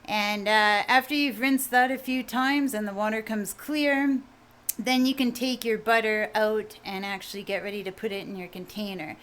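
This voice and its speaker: A woman with a quiet voice, casually explaining a recipe in a home kitchen video, rambling a bit with fillers. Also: mid-range voice, normal speed. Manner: relaxed, rambling, with fillers and mild swearing. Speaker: home cook vlogger.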